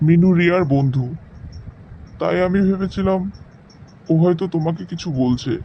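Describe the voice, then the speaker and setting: A man's voice: high voice, moderate pace, gravelly. A man voicing a protective, determined young man in cartoon drama dialogue. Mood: caring. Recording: slightly dull sound, faint noise.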